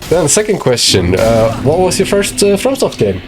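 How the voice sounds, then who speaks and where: A man from Austria whose first language is German, hosting a casual online interview. He talks haltingly, laughs often, and has a cough. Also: a little high, very animated, music in the background. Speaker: interviewer and channel host.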